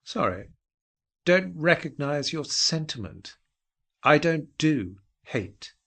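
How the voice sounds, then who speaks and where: A man's voice: on the high side, very animated, takes it slowly, creaky, sharp tone, slightly rough, voice pushed up, exaggerated voice. A man voicing a smug, dismissive former schoolmate with a curt, cutting manner in an audio story. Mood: scornful.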